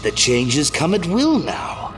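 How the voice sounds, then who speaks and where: Crazy gloating clown supervillain for an audio drama, super animated, mocking, music behind him. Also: male voice, fairly high pitch, slow pace, full character voice. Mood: smug, sinister. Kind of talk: audio drama villain acting.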